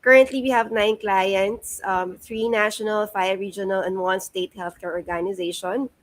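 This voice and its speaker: A woman in her thirties with a Filipino accent, giving a career presentation to students, halting often on "um" and mixing in Tagalog. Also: mid-range voice, steady tone. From Philippines. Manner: halting, frequent "um" fillers, mixes in Tagalog. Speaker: guest speaker at a career talk. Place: not present in the room, speaking remotely.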